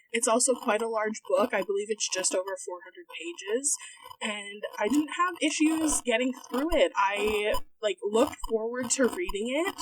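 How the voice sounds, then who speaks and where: A woman's voice: a little high, low-quality recording. A woman podcast co-host sharing her personal take on a book in a casual way. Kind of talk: casual spoken book review.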